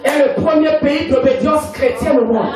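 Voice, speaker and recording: A high-pitched man from Congo delivers an emphatic sermon, repeating his points as a congregation answers back. The sound comes from a distance, in a large echoing hall. Voices of the crowd are clearly audible behind him. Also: normal speed. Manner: emphatic preaching with repetition and call-and-response. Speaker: pastor. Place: church hall. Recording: echoey hall, mic from far off, congregation audible. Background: congregation responding amen.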